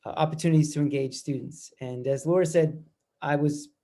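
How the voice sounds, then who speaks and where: A man's voice: a little high, fairly even intonation, brisk, mellow. A middle-aged man, a campus minister telling his story in a rambling way. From Worcester, Massachusetts. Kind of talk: casual personal storytelling.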